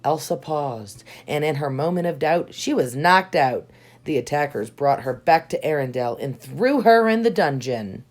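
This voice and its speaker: Female children's storybook narrator, slightly low voice, very animated intonation, dramatic emphasis. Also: normal speed. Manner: dramatic emphasis on key words. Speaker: storybook reader. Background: soft music.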